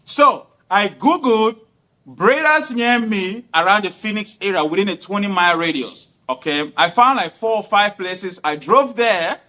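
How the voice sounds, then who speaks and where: Earnest man, bright voice. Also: sits high, animated, a little slow. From Cameroon. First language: not English. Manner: earnest, formal, repeats himself. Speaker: guest. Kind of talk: explaining himself in an interview.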